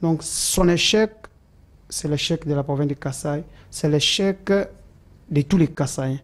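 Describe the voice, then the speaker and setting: A man, answering interview questions about a politician in a halting way with many fillers and restarts. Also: a little high. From Democratic Republic of the Congo.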